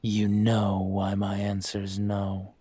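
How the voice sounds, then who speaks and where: A man playing a dragon mafia boss in an audio roleplay, speaking with measured, condescending menace and smug control. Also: on the low side, monotone, dark tone, slightly rough, no echo. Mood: stern, condescending. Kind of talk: scripted roleplay monologue to the listener.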